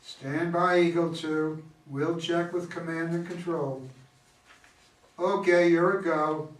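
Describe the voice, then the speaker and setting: A man's voice: fairly high pitch, fairly even intonation, slow pace. A man playing an earnest, by-the-book mission control officer in an amateur play reading, speaking in a formal, procedural way. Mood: businesslike. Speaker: amateur reader of a play, also reading stage directions. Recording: echoey room, distant mic, background noise.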